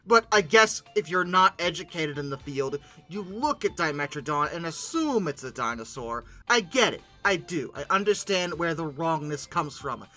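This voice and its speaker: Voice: medium pitch, lively intonation, normal speed, few pauses, sharp tone, faint music behind. A host giving comedic commentary on pictures in informal, slangy talk, with exasperated, dramatic emphasis. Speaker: host of a paleoart commentary show.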